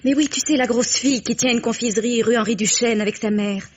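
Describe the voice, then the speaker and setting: A woman's voice: on the high side, steady tone, fast talker, natural voice. An actress playing a hesitant, emotional mistress in her thirties, clinging and pleading, in a French vintage radio melodrama. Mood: hesitant, coaxing. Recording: dull vintage radio recording.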